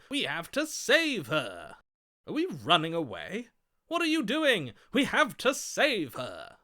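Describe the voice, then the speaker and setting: An actor playing a gallant, lovestruck romantic in a video game, florid, vain and grandly theatrical. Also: male voice, a little high, pushed and bright, exaggerated voice. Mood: indignant, dramatic. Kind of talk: video game character acting.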